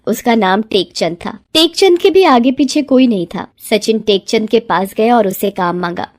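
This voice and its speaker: A woman tells a scripted moral story in a fairly high voice. Her pitch moves in lively swings, giving the narration an expressive, dramatic storybook feel. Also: normal speed.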